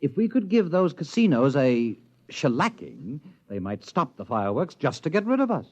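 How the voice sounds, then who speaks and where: A man's voice: on the high side, huge pitch swings, normal speed. An actor playing a wry male scientist colleague in a 1950s radio drama. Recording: muffled vintage radio recording.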